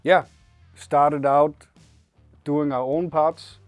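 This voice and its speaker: A Danish man in his late thirties speaks English with a Danish accent in short, plain remarks. His voice is a bit high and sounds dry and close, with soft music in the background. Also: flat delivery, drawn-out words, dry recording. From Denmark. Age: 30s. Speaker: motorcycle shop co-owner. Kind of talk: casual chat. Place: motorcycle workshop showroom.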